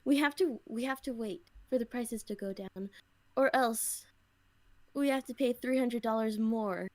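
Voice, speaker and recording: Unhurried, higher-voiced young woman chatting. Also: a little mellow, dry recording. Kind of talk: casual podcast chat.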